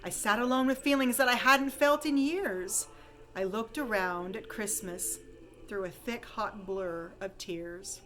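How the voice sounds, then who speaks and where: Woman, medium pitch, expressive poem recitation, dramatic storytelling delivery, varied emphasis, slight noise behind the voice. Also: unhurried. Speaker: poem reader.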